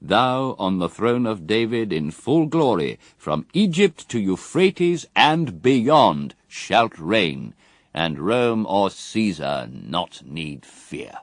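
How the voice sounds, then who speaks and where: A proud tempter devil in a radio verse drama. The voice is very low and swoops expressively as it persuades with scheming, measured rhetoric, recorded dry and close. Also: male voice, very animated, dry recording, full character voice. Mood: grandly urging. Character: Satan, proud, scheming tempter offering worldly power. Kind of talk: BBC radio drama, theatrical verse recitation.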